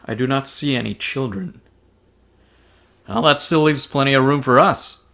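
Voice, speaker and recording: A man's voice: mid-range voice, normal speed, pushed and bright. A man playing a well-meaning, eager ghost with an earnest manner in a comedy table read. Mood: interested.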